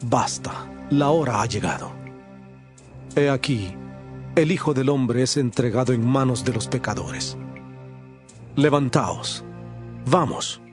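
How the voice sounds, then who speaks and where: A grave, sorrowful teacher with quiet authority in a scripture audio drama. His medium-pitched voice is solemn and measured, every sentence declared with weight. Faint music sits behind him. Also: expressive. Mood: grave, urging.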